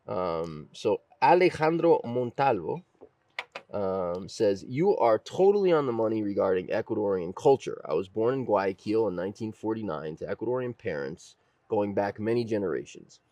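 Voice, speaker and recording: A man with a fairly low voice hosts a casual podcast. He tells stories conversationally and voices other people's remarks as he goes. The sound is close and dry. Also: very animated, moderate pace, dark tone, no room sound. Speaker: podcast host.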